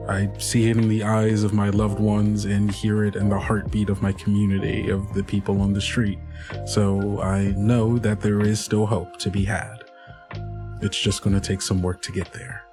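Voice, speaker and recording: Low-voiced man hosting over music. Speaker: podcast host and game master. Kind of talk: actual-play narration and host outro. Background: music under the voice.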